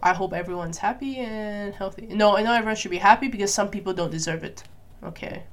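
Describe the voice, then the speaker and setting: A woman from Malaysia talking through her opinions in a casual solo monologue. She thinks out loud, asks herself questions and keeps checking in with "right?" and "you know?". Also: medium pitch, fast. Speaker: vlogger.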